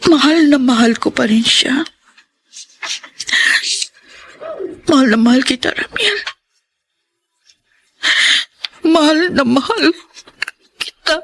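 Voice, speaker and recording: An actress playing a betrayed, jealous wife, heartbroken and bitter yet still in love, in an intense, emotional radio drama style. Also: female voice, high voice, animated, moderate pace. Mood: wounded longing. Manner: emotional, intense, anguished delivery. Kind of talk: melodramatic Tagalog radio drama acting.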